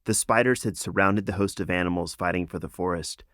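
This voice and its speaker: A man's voice: sits low, fairly quick, fairly bright tone, no room sound, studio quality. A man reading a children's fantasy audiobook as scripted, dramatic narration. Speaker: author narrating own audiobook.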